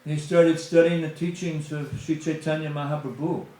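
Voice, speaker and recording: A man's voice: fairly high pitch, steady tone, moderate pace, mellow. A middle-aged man giving a conversational spiritual talk at a temple gathering, full of "you know" asides. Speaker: guest speaker at a temple satsang. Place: temple hall. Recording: very echoey hall.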